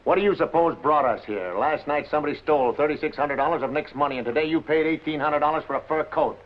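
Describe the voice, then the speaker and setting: An American actor playing a sardonic, hard-boiled police detective in a 1950s noir film, on a muffled soundtrack. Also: male voice, a little high, fast, natural voice. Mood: tough, accusing.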